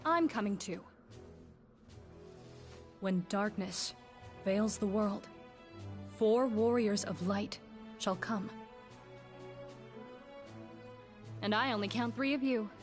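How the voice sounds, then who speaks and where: A woman's voice: medium pitch, slow pace, pauses often, lightly acted. An actress playing a resigned yet proud young woman warrior in a video game cutscene. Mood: challenging. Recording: music faintly behind. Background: faint music.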